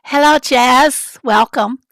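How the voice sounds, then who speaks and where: A woman with a raspy voice, hoarse from a summer cold, chatting her way through a livestream in a loose, rambling manner. Her voice sits a little high, and she greets viewers from the chat as she goes. The sound is dry, with no room echo. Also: slow pace, fairly bright tone. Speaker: livestream host.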